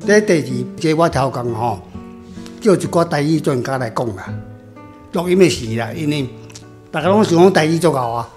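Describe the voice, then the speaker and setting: A man's voice: fairly high pitch, expressive, moderate pace, darkish tone, soft background music. A man with a Taiwanese accent chatting casually as an author responding to his readers. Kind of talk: casual chat.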